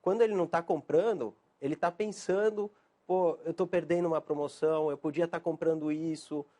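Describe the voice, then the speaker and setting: A man's voice: on the high side, fairly even intonation, fairly quick, mellow. A man from Argentina, a psychiatrist guest on a TV show, answering hesitantly with many fillers. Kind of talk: TV interview answers.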